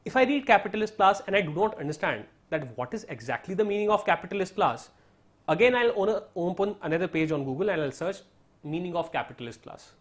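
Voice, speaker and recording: Indian English male teacher, Hindi native, slightly high voice, patient step-by-step explainer. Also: expressive, fast talker. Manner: explanatory, repeats "right?" and "so therefore", mixes in Hindi. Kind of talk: online lesson on study strategy.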